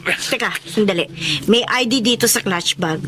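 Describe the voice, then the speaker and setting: A woman's voice: medium pitch, normal speed, a bit bright, a little hiss or hum, close to an everyday voice. A woman with a Filipino accent acting an honest, principled young bus conductor in an emotional audio drama. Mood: principled insistence. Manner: emphatic, emotional. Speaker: voice actor in a drama. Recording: music under the voice.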